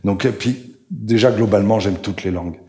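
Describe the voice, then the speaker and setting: An older man speaks in an earnest, halting way as a panel guest, often pausing on fillers. His voice sits very low. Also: a little mellow. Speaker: author guest. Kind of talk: panel conversation.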